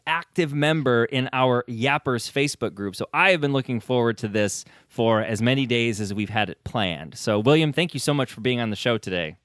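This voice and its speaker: A man's voice: mid-range voice, fairly bright tone, no room sound. Male interview host, informal and emphatic, mid-range voice, dry recording with music underneath. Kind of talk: conversational interview.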